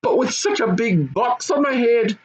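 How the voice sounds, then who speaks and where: A man voicing an excitable, easily horrified young goblin son in a squeaky high voice for a storybook. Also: normal speed. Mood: pleading. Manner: excitable, exclaiming.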